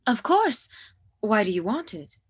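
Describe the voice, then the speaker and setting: A woman acts out a gentle young household employee in an old radio romance drama. Her voice is slightly high with a husky, breathy edge. She is coy and polite. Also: big pitch swings, measured pace, exaggerated voice. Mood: polite, guarded. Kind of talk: 1940s radio drama acting.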